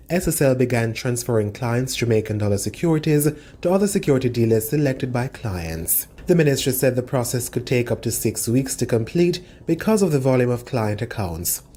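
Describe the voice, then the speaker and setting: Mellow-voiced man reading business news. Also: medium pitch. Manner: measured, formal news delivery. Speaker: news reader. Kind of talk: scripted news narration.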